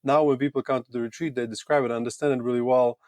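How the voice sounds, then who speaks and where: A man with a Latvian accent, a podcast guest telling his personal story casually in halting, thoughtful phrases with repeated words. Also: mid-range voice, fairly even intonation, darkish tone, dry recording, studio quality. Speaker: podcast guest, retreat owner.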